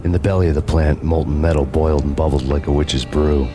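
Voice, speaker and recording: A brooding, hard-boiled detective narrates a noir video game in an extremely deep voice. The delivery is deadpan, sardonic and poetic, heavy with weariness, with music playing behind it. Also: male voice, fairly quick. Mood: wry, determined. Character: brooding, world-weary male detective narrating his own tragedy.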